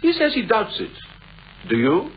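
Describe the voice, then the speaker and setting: A man's voice: high voice, huge pitch swings, moderate pace. An actor playing a dry, bantering family man teasing his friend in a 1960s radio play. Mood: needling. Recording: muffled, hissy old radio recording.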